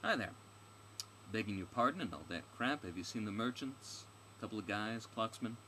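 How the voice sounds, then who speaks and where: A man's voice: lowish voice, lively intonation, moderate pace, sharp tone. A man voicing a brash, foul-mouthed, impatient warrior woman in a fantasy story, with a blunt, sarcastic delivery. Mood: brash, casual. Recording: clearly noisy recording with ambient background.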